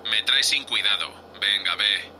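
Lowish male spaceship captain acted by a man, sardonic, bossy, very forceful, gruff, sci-fi radio play. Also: a little slow, slight background noise. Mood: exasperated. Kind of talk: science fiction audio drama acting.

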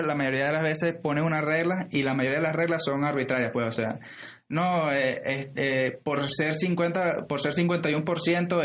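A man's voice: a little high, a bit bright. A man co-hosting a podcast, explaining ideas in casual political talk with many hesitations and restarts. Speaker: podcast co-host.

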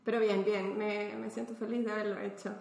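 A woman's voice: mid-range voice, level tone, a little fast, vocal fry, darkish tone. A woman from Chile with a Chilean accent, chatting as a podcast guest, thinking aloud and laughing often. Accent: Chilean Spanish. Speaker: podcast guest, philosopher and artist. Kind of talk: casual interview chat. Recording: roomy echo.

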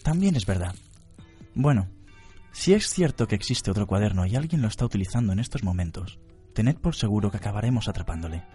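A man playing an odd, suspicious young detective in a radio drama, deadpan and deliberate. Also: fairly low pitch, faint music behind, lightly acted. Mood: suspicious, analytical. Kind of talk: radio drama adaptation of anime.

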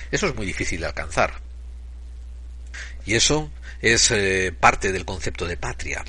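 A man with a fairly low, husky voice talks through his opinions on his own. The delivery is forceful and deliberate, with emphasis on key words and some searching for the next phrase. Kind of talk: opinion monologue to camera or microphone.

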